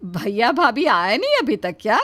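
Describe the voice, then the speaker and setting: A woman's voice: fairly high pitch, very animated, normal speed, fairly bright tone, dry recording. A woman playing an exasperated mother-in-law in a moral story. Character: exasperated mother-in-law managing lazy daughters-in-law. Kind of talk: scripted Hindi moral story acting.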